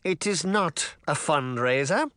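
A man's voice: a little high, expressive, slow pace, fairly bright tone, clear, resonant tone, no echo, studio quality, voice pushed up. A man voicing a pompous, sneering male mayor who bullies everyone around him, with a raised, condescending voice.

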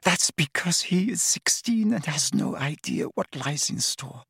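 A man voicing a desperate, crying woman pleading in a soft, whispery voice. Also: on the high side, very animated, unhurried, creaky, husky, dry recording, clean studio sound, voice pushed up, heavily acted. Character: desperate tearful mother, a woman witch.

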